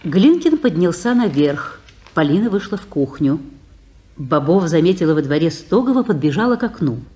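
A woman reads the stage directions of a radio play in a plain, even way. Her voice is fairly low for a woman, yet lively in pitch. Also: moderate pace. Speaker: narrator.

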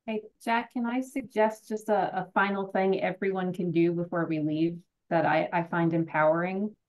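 A woman's voice: fairly low pitch, steady tone, normal speed. A middle-aged woman presenting on a video call, encouraging and direct as she urges listeners to act. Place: home office, indoors.

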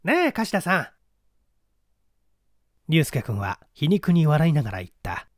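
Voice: medium pitch, big pitch swings, unhurried, dry recording, studio quality. A reader playing a confident young male detective, wry and commanding, in an audiobook mystery. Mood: cool, wry.

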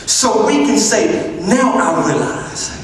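A young man preaching an impassioned sermon to young people, emphatic and repetitive, often raising his voice toward a shout. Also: high voice, animated, slow pace. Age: young adult. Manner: emphatic, repeats phrases, often raises voice to a shout. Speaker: youth preacher. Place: large church hall, indoors. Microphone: handheld microphone through PA, filmed by distant camera. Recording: echoey hall from far off, crowd behind.

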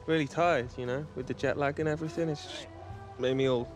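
A man's voice: mid-range voice, fairly even intonation, fast talker, soft background music, natural voice. An actor playing a flustered young man talking casually in a video game cutscene. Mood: awkward, flustered.

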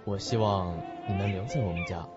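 A man playing a warm, grateful new father in an audio drama. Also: deep voice, fairly quick, natural voice. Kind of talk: Chinese audio drama acting. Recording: muffled recording under loud music.

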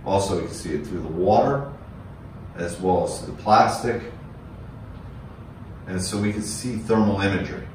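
A man's voice: low voice, moderate pace, long pauses, a little hiss or hum. A middle-aged American man giving a technical product demonstration and explanation as a company representative in an office. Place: indoors in a small office meeting room. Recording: echoey room.

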